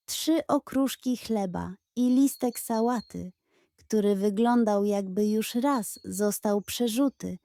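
Slow, soft-toned woman storyteller. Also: fairly high pitch, dry recording. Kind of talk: scripted children's bedtime narration.

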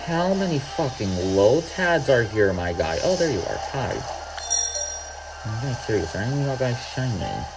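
A man's voice: mid-range voice, big pitch swings, moderate pace, low-quality recording. A young man giving casual, rambling gameplay commentary, drifting off topic and swearing freely. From Mexico. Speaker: gaming YouTuber. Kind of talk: casual let's-play commentary. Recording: loud game music behind the voice.

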